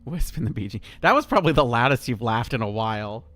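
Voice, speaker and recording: A man's voice: medium pitch, expressive, fast talker, fairly bright tone, dry recording. A man in his thirties streaming a horror game, chatting in a rambling, laid-back way with a lot of swearing. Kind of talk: casual gameplay commentary.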